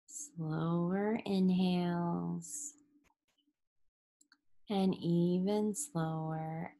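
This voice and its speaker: Woman, yoga nidra teacher, extremely slow pace, lowish creaky voice, soothing guided meditation, breathy endings. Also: warm tone. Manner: soothing, unhurried, with long pauses.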